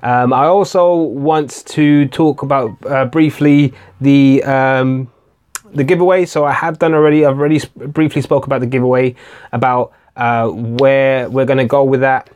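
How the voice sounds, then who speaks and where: A man, speaking at an ordinary mid pitch, hosts a relaxed live-stream Q&A. He talks in a loose, hesitant way, full of fillers and repeated starts, as he answers viewers' comments one by one. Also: moderate pace, clean tone. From England. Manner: reads viewer comments aloud and answers them. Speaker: tech channel host.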